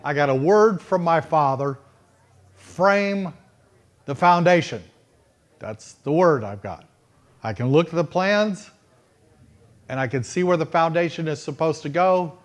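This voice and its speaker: A middle-aged man with a Texas accent preaches in a folksy, storytelling way. His voice sits a little high for a man. He slows down to lean on the phrases he wants to land. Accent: Texan. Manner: folksy and conversational, with emphatic slowed phrases. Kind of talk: sermon-style storytelling.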